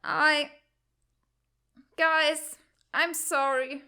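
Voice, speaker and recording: A high, bright voice of a sixteen-year-old academy boy, acted by a performer. He is earnest and easily frustrated, speaking at a slow pace in an animated comic drama. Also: heavily acted. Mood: sheepish, apologetic. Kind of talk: animated comic fan dub.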